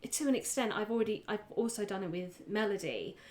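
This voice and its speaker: A woman's voice: medium pitch, fairly quick, darkish tone. A woman talking casually as a player on a role-playing podcast. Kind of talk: casual group chat.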